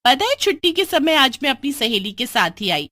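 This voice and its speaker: A woman voicing a young half-goat witch girl, an animated, eager daughter in a storybook cartoon. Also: high voice, normal speed, dry recording, clean studio sound, voice pushed up, exaggerated voice. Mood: cheerful. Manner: excitable, pleading, turning gleefully menacing.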